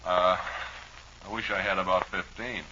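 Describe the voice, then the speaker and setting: Male old-time radio host, American, folksy ad-libber with a sharp, punchy tone; muffled archival sound with light hiss. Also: sits low, steady tone, normal speed. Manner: folksy, wry, rambling. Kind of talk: rambling ad-libbed old-time radio chat with live commercials. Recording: dull, noisy old radio broadcast recording.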